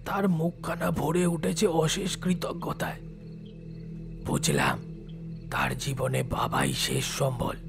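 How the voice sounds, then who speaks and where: A man playing a solemn, compassionate tantric telling a horror audio story in a slow, hushed, deliberate way. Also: medium pitch, creaky, heavily acted. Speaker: narrating lead character.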